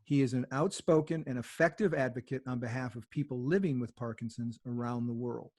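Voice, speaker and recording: Podcast co-host, man, mid-pitched, upbeat and chatty, talks to listeners. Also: fairly even intonation, brisk, darkish tone. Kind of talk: podcast introduction.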